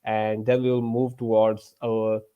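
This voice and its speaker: A man's voice: on the low side, little pitch movement, takes it slowly, clear, resonant tone, narrow, tinny audio. A man from Pakistan with a Pakistani English accent, hosting a student tech session in an upbeat, welcoming way. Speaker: student club lead, host.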